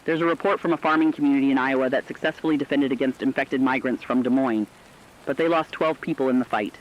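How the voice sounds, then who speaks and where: A man acting as an earnest, humane radio co-host in an apocalypse drama, with a mid-pitched voice. He speaks solemnly in rhetorical, scripted phrases, heard through a muffled, lo-fi broadcast sound. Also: fast, natural voice. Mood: somber, concerned. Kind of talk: scripted emergency broadcast commentary.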